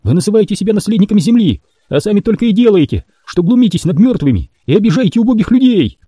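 A man reading an audiobook puts on a bold young woman who will not back down. The voice sits well above his usual pitch and pushes loud and sharp. It keeps his husky, very dark tone and a dry, close sound. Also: very animated, fast, dry recording, exaggerated voice. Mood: defiant. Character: fierce defiant young woman captive.

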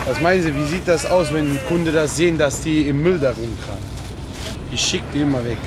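A man's voice: on the high side, fairly quick, a crowd in the background. A man giving blunt, colloquial interview answers as a market trader. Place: outdoors at a street market. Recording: noisy outdoor street with traffic.

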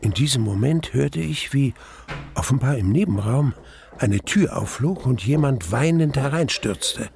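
An actor playing a grave first-person narrator in a radio drama, measured and suspenseful, pausing between phrases. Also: male voice, medium pitch, expressive, creaky, darkish tone. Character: grave male narrator recalling an eerie adventure.

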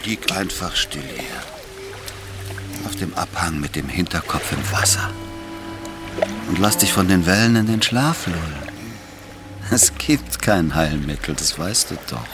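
Menacing Soviet apparatchik villain, very low voice, mocking and condescending, radio play with music underneath. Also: male voice, animated, unhurried, pauses often. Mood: coolly menacing. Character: smug, menacing Soviet party boss and killer. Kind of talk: German radio drama acting.

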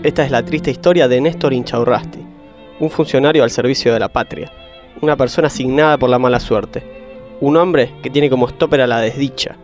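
A man's voice: mid-range voice, fairly quick, soft background music, close to an everyday voice. A man with an Argentine accent narrating a comic radio play as a solemn, dramatic announcer. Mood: mock-solemn.

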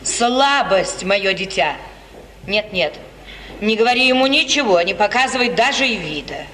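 A woman plays a worldly, anxious mother of middle years, with a medium-pitched voice. Her delivery is theatrical, emphatic and persuasive, as in 1950s radio tragedy. The old recording has a light hiss and sounds slightly distant. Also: expressive, normal speed, fairly bright tone, close to an everyday voice. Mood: reassuring, persuasive.

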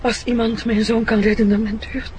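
A woman's voice: on the high side, level tone, brisk, darkish tone, slight background noise, natural voice. An actress playing a distraught, insistent mother with a Flemish accent in a radio crime drama. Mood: anxious, relieved.

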